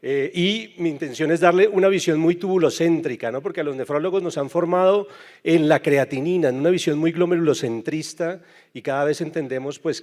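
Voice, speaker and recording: A man's voice: on the high side, a little mellow. A man from Colombia with a Colombian accent gives a medical lecture as a nephrologist, speaking conversationally and emphatically with frequent tag questions. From Bogotá, Colombia.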